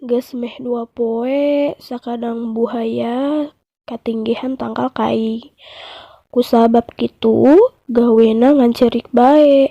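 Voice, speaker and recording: A woman teacher with a Sundanese accent speaks slowly in a high voice, explaining and narrating for young children. The recording is dry and slightly dull. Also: fairly even intonation, vocal fry, dry recording. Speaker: primary school teacher. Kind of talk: lesson and storytelling narration for children.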